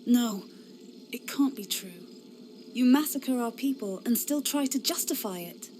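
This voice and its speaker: Earnest, defiant young knight. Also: female voice, on the high side, animated, a little slow, a little mellow, a little hiss or hum. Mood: hurt, defiant. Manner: impassioned, defiant. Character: earnest, idealistic young female inquisitor knight. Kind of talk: fantasy game cutscene acting.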